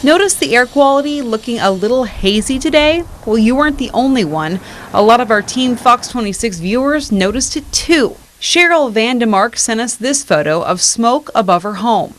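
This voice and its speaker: Higher-voiced American woman TV reporter. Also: animated, normal speed, slight background noise. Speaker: TV news reporter. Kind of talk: broadcast news reporting.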